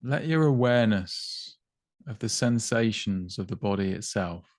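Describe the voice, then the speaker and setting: Low-voiced man guiding a meditation. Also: animated, no room sound, clean studio sound. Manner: unhurried, gently instructive, long pauses between short phrases. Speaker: meditation guide. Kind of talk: guided body scan meditation.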